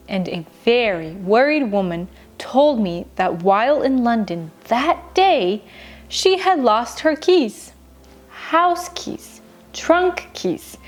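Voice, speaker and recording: A woman with a fairly high, very animated voice tells a children's bedtime story. She takes her time, leaning on words and pausing for drama. Music plays softly behind her. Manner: dramatic, deliberate storytelling with emphatic pauses. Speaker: storyteller, show host.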